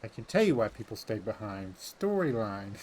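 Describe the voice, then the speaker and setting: A man's voice: fairly low pitch, very animated, normal speed, darkish tone. A man in casual, colloquial gaming talk, making his points insistently with some mild swearing. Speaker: gaming channel host. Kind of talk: casual gameplay chat about story.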